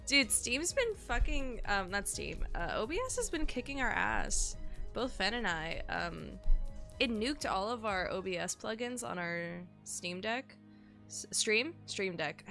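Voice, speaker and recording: Sick-sounding streamer woman, gravelly edge. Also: medium pitch, sharp tone. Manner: rambling, swears casually, self-deprecating. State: sick, nasal and sniffly. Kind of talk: casual live-stream chat.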